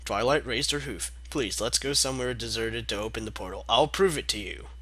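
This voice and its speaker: A man's voice: mid-range voice, brisk, sharp tone, no echo. A young man reading a fan story aloud, breaking off for sarcastic, mock-exasperated asides. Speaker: fanfic reader. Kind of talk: comedic dramatic reading.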